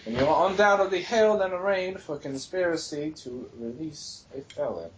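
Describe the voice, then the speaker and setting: A man's voice: a little high, lively intonation, normal speed, lo-fi sound, slight background noise, voice pushed up, close to an everyday voice. Man putting on a drawling accusing lawman. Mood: gruff, accusing.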